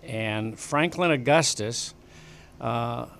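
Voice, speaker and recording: An older man sits at a broadcast mic in a small studio, recounting family and local history. He talks casually and meanders, restarting phrases often. His voice sits at an ordinary middle pitch. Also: takes it slowly, creaky, fairly bright tone. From western United States. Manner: rambling, many restarts and fillers. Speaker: guest. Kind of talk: casual interview storytelling. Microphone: desk broadcast mic on boom arm.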